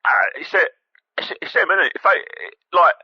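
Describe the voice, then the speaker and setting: A man's voice: sits high, fairly even intonation, normal speed, no room sound. An actor playing a dim, blunt, muddled man calling a comedy radio phone-in. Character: dim, blunt, inarticulate working-class male phone-in caller. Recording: dull lo-fi phone-in line.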